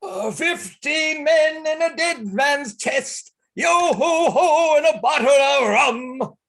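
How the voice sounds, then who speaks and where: Man acting a gruff old sea captain. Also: extremely high pitch, animated, slow pace, fairly bright tone, exaggerated voice. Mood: singing. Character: gruff, hard-drinking old sea captain, wary and domineering. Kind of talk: radio play acting.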